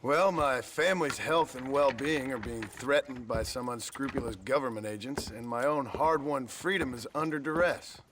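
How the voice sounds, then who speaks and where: A middle-aged actor playing a guarded former outlaw who answers in terse, dry, deadpan remarks in a game cutscene. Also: male voice, mid-range voice, normal speed, hardly any pauses, noisy background, close to an everyday voice. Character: weary outlaw gunslinger man, dry and guarded. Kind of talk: video game cutscene acting.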